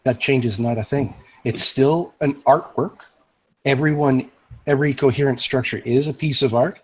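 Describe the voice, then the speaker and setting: A man's voice: mid-range voice, steady tone, normal speed, a bit bright, husky, dull audio. A man moderating an online voice-chat discussion, explaining in long philosophical sentences.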